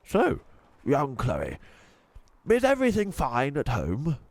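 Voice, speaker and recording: A man's voice: medium pitch, wildly swooping pitch, slow, dry recording, voice pushed up, heavily acted. A man voicing a courteous, quaintly well-spoken old male tramp in a storybook, with hesitant little repeats. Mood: gently probing. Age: older.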